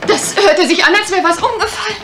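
A polite country guesthouse landlady, high-pitched and easily flustered. She speaks in radio crime drama style, under very heavy noise from an old tape. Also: a little fast. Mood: flustered, reassuring.

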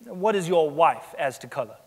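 A man's voice: a little high, animated, darkish tone. A young man playing a courteous, methodical attorney who questions his client formally in a dramatic stage reading. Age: young adult. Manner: formal, measured. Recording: echoey theatre stage, long reverb.

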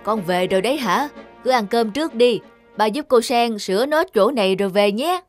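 An actor voicing a kindly village father in a cartoon, gentle and coaxing. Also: high-pitched, lively intonation, normal speed. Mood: reassuring. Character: kindly village father, devoted to his daughter. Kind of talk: cartoon dub acting. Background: music.

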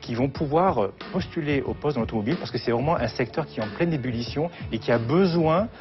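Guy giving formal explainer interview, average pitch, faint music, slightly dull sound. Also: fast talker. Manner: long flowing explanatory sentences. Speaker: car industry job fair representative. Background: faint background music.

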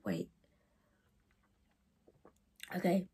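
A woman in her thirties with a cold and a croaky voice, chatting to camera about books she read, with lots of ums and asides. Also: very slow, mellow. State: hoarse from a cold. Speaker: book vlogger. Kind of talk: casual chat to camera. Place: living room with bookshelves.

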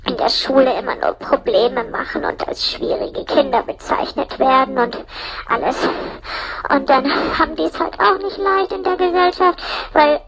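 A woman's voice: sits high, fairly even intonation, normal speed, a bit bright, poor audio. A woman rambling through a casual comic monologue as a storyteller, slangy and sweary, joking and mimicking people as she goes. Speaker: audio-play storyteller in a puppet's persona.

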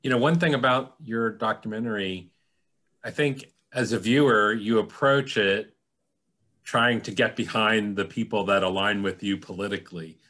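A middle-aged man speaks with a somewhat low voice on a panel. He is earnest and a little halting as he gathers his thoughts. He is heard over a video call. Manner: earnest, animated hand gestures, halting. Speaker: panel moderator at a museum. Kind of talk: panel discussion comment.

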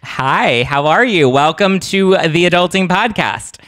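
A young man hosting a podcast from his home kitchen, giving lively scripted introductions into a handheld mic. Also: sits high, unhurried, no echo, clean studio sound. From Los Angeles. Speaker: podcast host. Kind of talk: casual panel chat. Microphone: handheld mic with headphones.

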